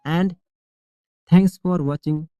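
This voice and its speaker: A male English teacher leading a shadowing drill, reading each model sentence slowly and deliberately, then repeating it clearly. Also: on the high side, steady tone, warm tone, no room sound, clean studio sound.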